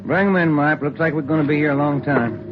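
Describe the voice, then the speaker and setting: An actor playing a steady, folksy police detective in an old radio procedural, speaking casually and plainly. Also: male voice, a little high, fast talker, over music, natural voice. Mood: casual, easygoing. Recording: muffled old radio broadcast.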